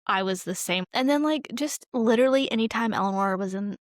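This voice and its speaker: A woman's voice: a little high, brisk, no room sound. Young woman chatting openly, dry close sound. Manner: informal, frequent "like", personal and open. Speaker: podcast co-host. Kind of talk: casual film discussion chat.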